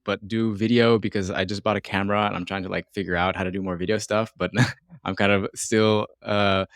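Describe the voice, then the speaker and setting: Male podcast host, slightly low voice, dry close sound, informal and rambling. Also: clean tone, no echo. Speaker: interviewer and host. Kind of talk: casual conversational interview.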